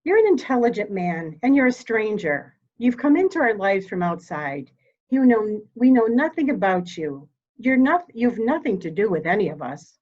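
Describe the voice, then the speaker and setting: A steely, dignified elderly mother played by a woman speaks with formal, deliberate gravity in a murder mystery drama. Her middle-pitched voice is commanding and controlled, captured in slightly dull, lo-fi audio. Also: sing-song, darkish tone. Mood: grave, resolute.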